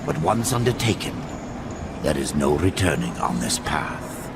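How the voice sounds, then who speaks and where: An actor playing an ominous, ancient crow-like keeper of secrets in a video game, speaking in a solemn, portentous way. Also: male voice, sing-song, a little slow, heavily acted. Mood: foreboding. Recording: loud rumbling background noise.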